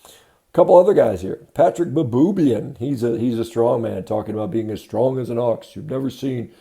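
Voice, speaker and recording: A man giving unscripted critical commentary as a host, matter-of-fact and halting, often correcting himself. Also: medium pitch, mellow. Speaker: host of a diet commentary video.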